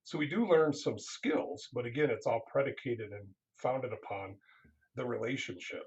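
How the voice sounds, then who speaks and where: A man's voice: mid-range voice, moderate pace, dull audio. A man, a counseling professor, speaking earnestly in a casual interview, often restarting his sentences.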